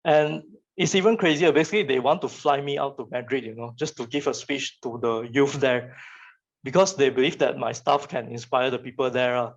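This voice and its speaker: A man's voice: medium pitch, a little fast, gravelly. Young man, Malaysian English accent, Chinese first language, casual motivational talk, repeats words, muffled lo-fi sound. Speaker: speaker giving a talk.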